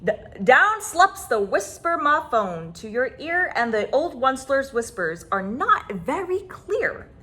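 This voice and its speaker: A woman reading a children's story aloud in an expressive, dramatic way, drawing out words for emphasis. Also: fairly high pitch.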